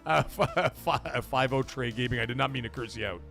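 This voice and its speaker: A man hosting a casual live commentary stream, talking to his chat in an animated, profane way full of rhetorical questions. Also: mid-range voice, brisk, vocal fry, faint music behind. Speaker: livestream host.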